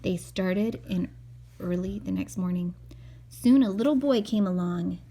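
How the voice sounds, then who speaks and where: Mom reading a kids picture book out loud, soft mellow voice, bit husky, chats to her kid between lines. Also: mid-range voice, a little slow. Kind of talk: storybook read-aloud with casual asides to a child.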